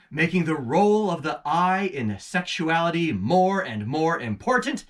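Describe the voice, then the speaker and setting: A man performing a dramatic literary reading as a senior demon uncle, pompous, scheming and condescending, with deliberate delivery. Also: high-pitched, fairly bright tone, distant mic, heavily acted. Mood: gloating, scheming.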